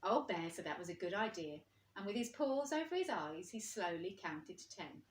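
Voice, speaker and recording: Expressive woman reading aloud, roomy sound. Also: on the low side, a little hiss or hum. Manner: expressive, pauses to ask listeners questions. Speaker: teacher reading a story to children. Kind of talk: storybook read-aloud for young children. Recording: roomy, echoing indoor sound.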